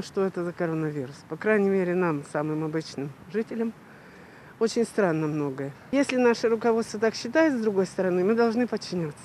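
A woman's voice: mid-range voice, mellow, slight background noise. An older woman giving her opinion as an interviewed passer-by, speaking into a handheld microphone outdoors. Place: city park, outdoors. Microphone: handheld reporter microphone.

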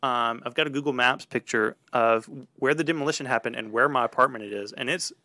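A man telling a personal story in a casual, animated way on a podcast. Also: medium pitch, a little fast, no room sound, clean studio sound.

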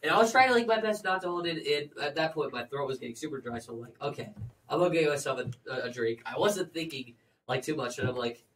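A teenage boy with a slightly raspy throat, rambling through a story in casual chat around a classroom table. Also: fairly high pitch, expressive, fast talker, fairly bright tone, recorded from a distance, a little hiss or hum, low-quality recording. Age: teens. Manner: rambling storytelling, lots of fillers. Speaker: podcast co-host.

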